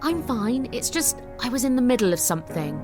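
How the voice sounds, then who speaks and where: A woman's voice: on the high side, very animated, fairly quick, music in the background, pitched above the performer's own voice. A woman narrator voicing an impatient, defensive young college woman in an audiobook. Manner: defensive, dismissive.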